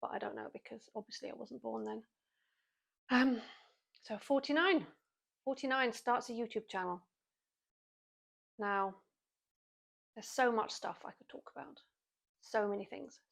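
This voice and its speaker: A woman's voice: fairly high pitch, gravelly. Chatty British woman in her forties. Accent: British English. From Britain. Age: 40s. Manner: chatty, self-mocking, wry asides. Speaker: vlogger. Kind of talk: casual chatty monologue to camera.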